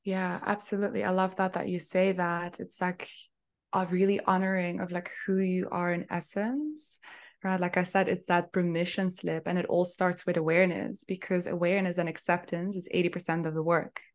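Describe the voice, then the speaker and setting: A young woman from Belgium speaks as a guest on a remote call, gushing and warm in her wording. Her voice sits fairly low for a woman. She talks in a relaxed, chatty interview style with soft laughs. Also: normal speed. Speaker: astrologer and business mentor, guest. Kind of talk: casual interview chat.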